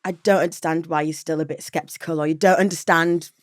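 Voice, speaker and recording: A woman's voice: sits low, fast talker, no room sound, clean studio sound. Young Yorkshire woman telling a tale. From Yorkshire, England. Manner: animated, laughs and gasps often. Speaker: podcast guest, producer. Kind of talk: casual chat, storytelling. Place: podcast studio. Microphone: studio microphone.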